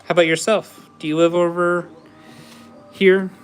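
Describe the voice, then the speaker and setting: A man's voice: high voice, level tone, measured pace, clear, resonant tone, soft background music. A man chatting casually with viewers while building a Lego set on a livestream, easygoing and laughing often. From USA. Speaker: livestream host.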